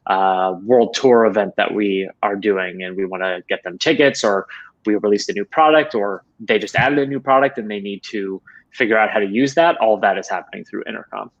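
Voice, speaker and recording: A man in his thirties with a fairly low voice answers questions conversationally as an interview guest. He is on a video call, and the sound is somewhat dull and lo-fi. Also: brisk. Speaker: interview guest, head of support operations. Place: small home room, indoors.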